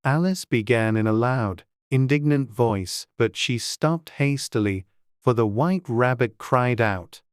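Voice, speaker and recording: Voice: mid-range voice, measured pace, smooth, no echo, clean studio sound. An audiobook narrator reading a scripted storybook.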